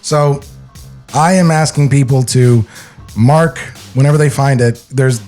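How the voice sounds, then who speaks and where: A man's voice: mid-range voice, measured pace, darkish tone, faint music behind. A man co-hosting a podcast, chatting casually with joking interjections. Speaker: podcast co-host.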